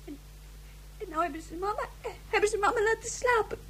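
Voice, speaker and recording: A female performer playing an upset, anxious young child in a radio drama, halting and plaintive. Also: very high voice.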